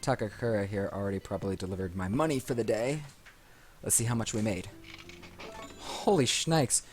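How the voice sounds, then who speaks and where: Low male voice, casual gaming host, rambling and self-deprecating, game music behind. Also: moderate pace. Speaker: let's play host. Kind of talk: casual let's play commentary. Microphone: desk microphone picking up the room.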